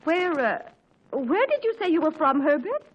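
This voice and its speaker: A woman's voice: high voice, very animated, moderate pace. A woman playing a genteel, fluttery middle-aged mother in a 1940s radio sitcom, with gushing, hesitant, sociable talk. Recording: muffled 1940s radio recording.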